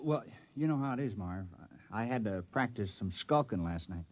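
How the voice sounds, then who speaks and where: A husky, easygoing young townsman. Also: fairly low pitch, animated, normal speed, darkish tone, no echo, dull audio. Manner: mild, bemused, drawling. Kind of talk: 1950s radio drama acting.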